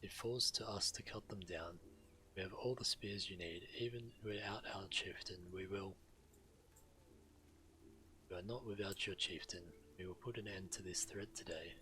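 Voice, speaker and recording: A proud walrus-folk chieftain from a fantasy game. The voice is very low and forceful, solemn and resolute in delivery. Faint ambient noise sits behind the words. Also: male voice, normal speed. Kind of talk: video game character dialogue.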